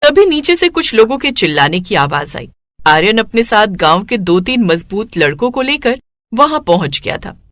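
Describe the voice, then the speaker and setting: A woman narrating a scripted moral story as an expressive storyteller. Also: medium pitch, moderate pace.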